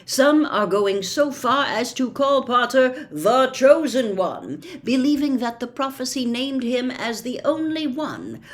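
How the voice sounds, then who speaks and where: Expressive woman storyteller with swooping intonation. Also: sits high, unhurried, few pauses. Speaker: storyteller reading a novel aloud. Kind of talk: expressive audiobook-style storytelling.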